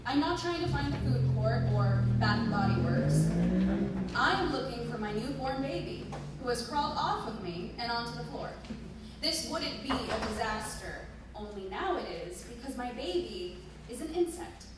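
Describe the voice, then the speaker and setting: A woman performing a dramatic first-person story reading live, with expressive, deliberate phrasing. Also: a little high. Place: live stage reading in a museum hall. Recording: very echoey room, distant mic, noisy.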